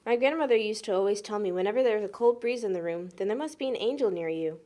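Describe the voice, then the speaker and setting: A woman's voice: medium pitch, fast talker, warm tone, lightly acted. A woman acting as a friendly, easygoing young party guest, talking casually in film dialogue.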